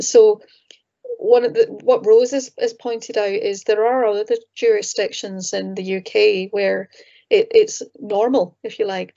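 A Scottish woman moderating a video call panel, her voice mellow and soft-edged. She speaks in a measured way as she passes on questions. The call audio sounds muffled. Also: medium pitch, gravelly. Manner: measured, thanking and relaying questions. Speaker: event chair.

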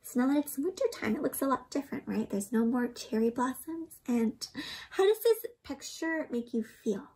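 High, breathy young woman reading to kids. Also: moderate pace. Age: young adult. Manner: expressive, asks listeners questions, gentle teacherly explaining. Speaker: children's storybook reader and host. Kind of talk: interactive read-aloud for young children. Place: small indoor room with books and plants.

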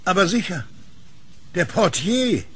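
A man's voice: sits high, very animated, slow pace, fairly bright tone, slight background noise, heavily acted. A man playing an earnest, questioning kiosk keeper in a German horror radio play. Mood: alarmed. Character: puzzled kiosk keeper with keen hearing, earnest. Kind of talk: German audio horror drama acting.

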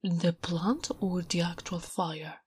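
A woman voicing a big, blunt, smitten and naive female orc in whispered, halting broken English for an ASMR roleplay. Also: fairly low pitch, steady tone, a little mellow. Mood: puzzled, curious. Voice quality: breathy, whispery. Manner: halting broken English, speaks of herself in third person. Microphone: close-up ASMR microphone. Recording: close, intimate microphone.

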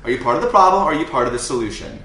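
A man's voice: medium pitch, lively intonation, racing, bright, forceful tone, pitched below the performer's own voice. A man playing an indignant, self-important caller making a complaint as a prank. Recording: roomy living room recording.

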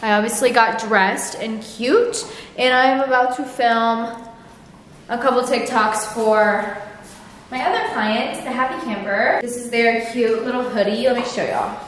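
A woman's voice: a little high, a little slow, fairly bright tone. Young woman vlogging in an echoey room. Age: early 20s. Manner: informal, filler-heavy with frequent "like" and "y'all". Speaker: lifestyle vlogger. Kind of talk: casual vlog chat to camera. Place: large, mostly empty room in a house. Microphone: camera at arm's length or on tripod. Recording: echoey bare room with faint noise.

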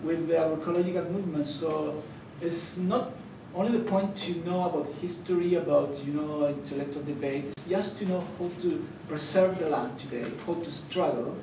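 A young Chilean man with a Spanish accent talks earnestly on a conference panel, stumbling and restarting as he goes. His voice sits a little high for a man. It comes from a distance in a reverberant hall with steady background noise. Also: vocal fry. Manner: earnest, halting, with many fillers and restarts. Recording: echoey hall, distant mic, noisy.

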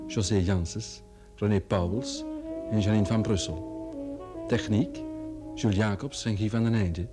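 A man reading scripted credits as the announcer of a radio play. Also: fairly low pitch. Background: loud guitar music.

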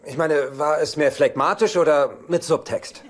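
A man's voice: a little high, moderate pace, a faint crowd, close to an everyday voice. An actor playing a sarcastic, womanizing bachelor uncle in a dubbed sitcom, with a dry manner. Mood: preoccupied. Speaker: lead actor in German sitcom dub.

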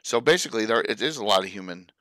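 A man with a South Jersey accent and a lowish, bright voice chats loosely as co-host of a lore discussion. He is casual and talkative. The sound is dry and close. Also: lively intonation, fast talker, no room sound. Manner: loose, chatty, talks to chat viewers.

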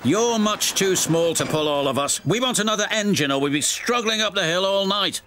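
A man's voice: medium pitch, steady tone, slow pace, sharp tone, a little hiss or hum. A man voicing silly, mischievous goods trucks in a children's story, teasing in a taunting sing-song.

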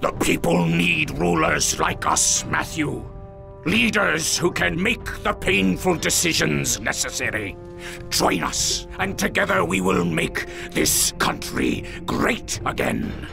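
An actor playing a ruthless, scheming lord in a video game cutscene, speaking in a persuasive, commanding way. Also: male voice, fairly high pitch, sing-song, slow, vocal fry, over music, full character voice.

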